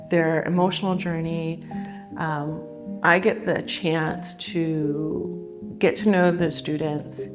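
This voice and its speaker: A woman from California, a teacher, talking in a chatty, relaxed, upbeat way in an interview. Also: on the low side. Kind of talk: casual interview talk. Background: soft guitar music.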